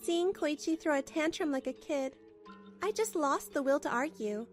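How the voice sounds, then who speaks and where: A woman's voice: high-pitched, lively intonation, normal speed, faint music behind. An actress playing a weary, put-upon housewife who tells her own story in a manga dub, plaintive and apologetic. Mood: weary narration. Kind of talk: first-person scripted story narration, manga dub.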